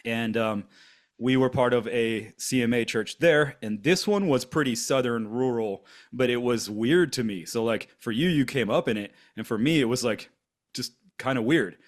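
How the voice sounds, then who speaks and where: A man's voice: medium pitch, normal speed. A man in his forties from Germany, whose first language is German, telling his story on a podcast in a rambling way with many fillers and restarts. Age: 40s. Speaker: podcast co-host. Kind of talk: casual podcast conversation.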